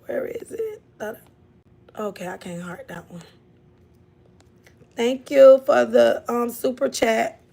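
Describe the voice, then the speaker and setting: A woman's voice: on the high side, lively intonation, slow pace, gravelly, pauses often, dark tone, husky. A woman from Florida with a Southern accent, hosting a casual livestream and chatting loosely with her viewers. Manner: chatty, drawling, scolds her kids off-mic. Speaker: livestream host. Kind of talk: casual live chat.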